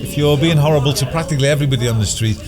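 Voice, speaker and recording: A man's voice: on the high side, very fast, over music. An actor, a man, chatting effusively in a casual interview and repeating phrases for emphasis.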